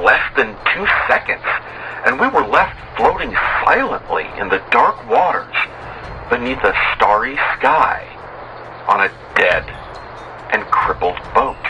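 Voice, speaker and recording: A man reading a first-person horror story as dramatic scripted narration, in a measured, solemn, literary manner. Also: mid-range voice, noisy background.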